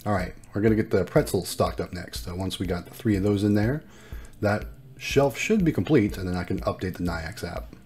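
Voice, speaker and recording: A man's voice: low voice, brisk, darkish tone, soft background music. A man narrating a casual vlog in an upbeat, appreciative way, explaining things as he goes. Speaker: vlogger and vending machine operator.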